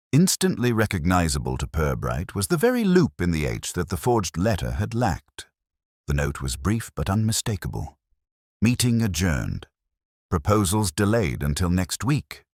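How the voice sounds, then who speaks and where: A man narrating a scripted dramatic story in a measured, ornate literary manner. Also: extremely low pitch, darkish tone, no echo, studio quality. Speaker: audiobook narrator.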